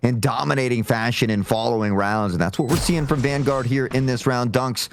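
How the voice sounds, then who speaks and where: A man's voice: medium pitch, fairly quick. A man giving fluent, wordy live analysis as an esports commentator, with playful turns of phrase. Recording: faint game noise and clicks.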